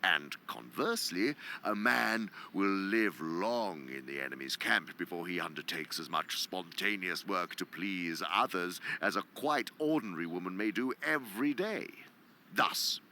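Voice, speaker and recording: Gravelly, theatrical demon mentor. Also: male voice, sits low, animated, a little slow, bright, forceful tone, slight background noise, exaggerated voice. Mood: sly, gloating. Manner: pompous, dramatic, savoring words, dropping into whispers. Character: gloating, sly senior male demon mentor. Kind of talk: theatrical radio drama monologue.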